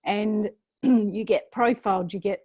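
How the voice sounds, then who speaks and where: Aussie woman doing a webinar sales pitch, lots of ums, voice a bit hoarse, dry sound. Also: fairly even intonation, measured pace, dark tone, no echo. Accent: Australian. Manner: chatty, many fillers and restarts. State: slightly hoarse, losing her voice. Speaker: program host presenting an offer.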